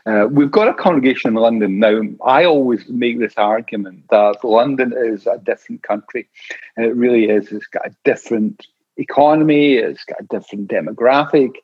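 An older man with a husky voice asks probing, sometimes teasing questions in relaxed conversation. The recording sounds dull and dry, lo-fi and close. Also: medium pitch, normal speed, no echo. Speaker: minister and interviewer. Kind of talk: conversational interview.

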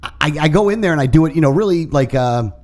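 A man co-hosting a talk show, telling stories in casual banter with lively energy. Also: fairly high pitch, quick pace. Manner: lively, animated storyteller. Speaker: co-host.

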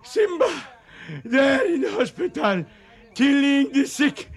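An actor playing a panicked native man crying out in a radio drama, shouting frantically. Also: very high voice, sing-song, slow pace, full character voice. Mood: terrified. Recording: muffled radio recording with loud music.

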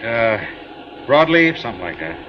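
A man's voice: mid-range voice, very animated, moderate pace, close to an everyday voice. An actor playing a friendly, talkative ship captain in a 1950s radio drama, rambling and hesitant as he speaks. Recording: muffled old radio broadcast with loud hiss.

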